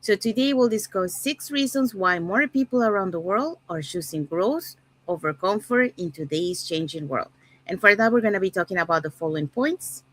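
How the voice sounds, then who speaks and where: A woman from Panama hosting an online panel talk, relaxed, often saying "so yeah". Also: medium pitch, moderate pace. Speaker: host.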